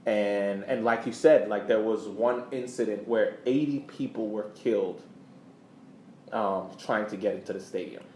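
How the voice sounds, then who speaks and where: A man's voice: on the low side, a little slow, darkish tone. A man co-hosting a podcast, talking casually about sports. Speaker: podcast host. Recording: roomy echo, background noise.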